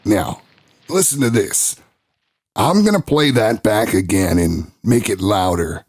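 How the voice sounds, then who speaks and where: An actor playing a brash male talk show host, narrating his own investigation in an audio drama with a folksy, swaggering manner and dramatic pauses. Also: fairly low pitch, expressive, no echo. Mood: unsettled, dramatic. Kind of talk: scripted first-person narration in an audio drama.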